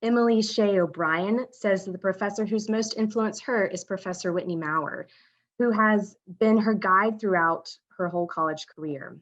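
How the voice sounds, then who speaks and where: Woman reading introductions over muffled audio. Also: mid-range voice. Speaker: ceremony presenter. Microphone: video call. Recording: dull video call audio.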